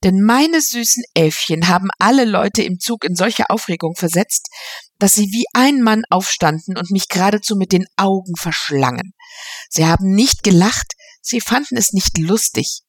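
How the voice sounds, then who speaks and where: A woman's voice: medium pitch, expressive, normal speed, no echo, studio quality, natural voice. A woman reading aloud and voicing a flamboyant upper-class society lady who gushes in an affected drawl. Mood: gushing.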